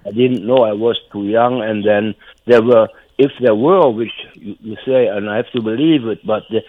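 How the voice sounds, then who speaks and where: A man's voice: lowish voice, no room sound. Elderly German man on a tinny phone line. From North Rhine-Westphalia, Germany. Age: 70s or older. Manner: halting, rambling, repetitive. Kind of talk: casual reminiscence.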